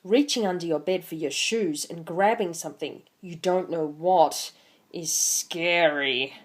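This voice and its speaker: A woman's voice: on the low side, unhurried. A woman in her twenties hosting an online video, talking casually and reading a picture book aloud with theatrical emphasis. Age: 20s.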